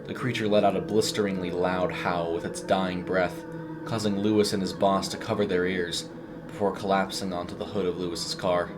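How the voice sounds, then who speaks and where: Very deep-voiced man narrating horror. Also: little pitch movement, moderate pace, loud music behind the voice. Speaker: audio story narrator. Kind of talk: scripted horror story narration.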